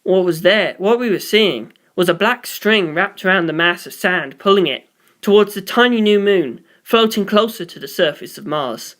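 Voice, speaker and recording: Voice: medium pitch, animated, normal speed, a bit bright. A reader delivering scripted first-person story narration, as for an audiobook.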